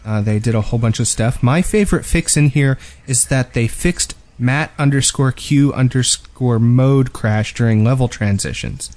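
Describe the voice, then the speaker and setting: A man's voice: mid-range voice, moderate pace, hardly any pauses, a little mellow. A man co-hosting a gaming podcast, chatting informally and reading through notes with joking asides. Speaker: podcast co-host.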